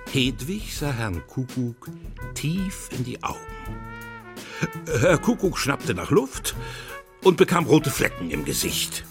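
A man narrating a children's radio play in an expressive scripted storytelling style. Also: mid-range voice, takes it slowly, over music.

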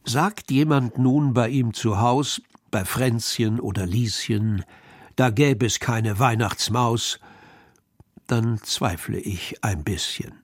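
A man reciting poetry for a children's radio programme in a measured, deliberate storytelling way. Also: mid-range voice, no room sound, studio quality.

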